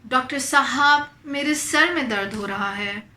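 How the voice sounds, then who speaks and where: A young adult woman, a native Urdu speaker, teaching an online lesson. She explains slowly and clearly in English, then says quick Urdu example phrases. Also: high-pitched, bright, forceful tone, reverberant. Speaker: language teacher.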